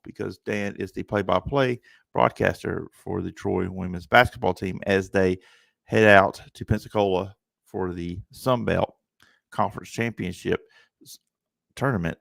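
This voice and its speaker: A man hosts a sports talk show with energy and chatter, his fairly low voice gravelly with fry. The studio mic sounds close and dry. He restarts phrases often as he talks fast about games. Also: a bit bright, no echo. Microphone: studio microphone.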